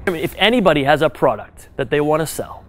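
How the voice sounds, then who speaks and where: Male speaker, live audience talk, energetic and direct, slightly higher register. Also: quick pace. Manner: animated, direct, second-person advice with frequent "right?". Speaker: business speaker advising an audience.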